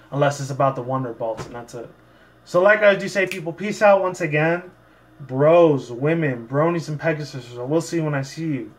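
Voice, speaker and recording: A man with a somewhat high-pitched voice reads and chats for a channel. He talks casually and rambles, often circling back over the same phrases. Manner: rambling, repetitive. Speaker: channel host and fan fiction reader. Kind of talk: casual vlog talk and story narration.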